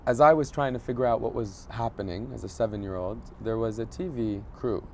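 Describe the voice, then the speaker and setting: A man in his thirties who grew up in Egypt, a journalist telling a personal story in an interview, pausing often to search for words. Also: mid-range voice, creaky, slight background noise. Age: 30s. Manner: thoughtful, searching for words, frequent fillers. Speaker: journalist guest.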